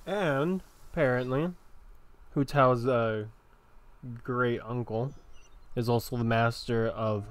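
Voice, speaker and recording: A man giving casual, halting commentary as he plays through a video game. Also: mid-range voice. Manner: halting, hesitant. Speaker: gameplay streamer.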